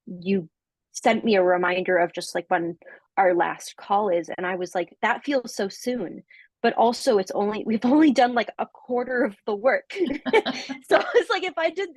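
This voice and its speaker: A young woman giving a coaching testimonial in casual conversation, halting and full of fillers and restarts, often laughing. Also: medium pitch, dry recording.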